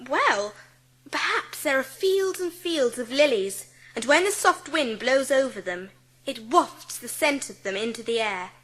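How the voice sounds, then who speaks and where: A woman's voice: high voice, expressive, unhurried, a bit bright, exaggerated voice, rough recording. A woman playing a kind, polite, earnest young schoolgirl in a dramatised children's audiobook. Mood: tender, dreamy. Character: kind, composed, imaginative seven-year-old schoolgirl.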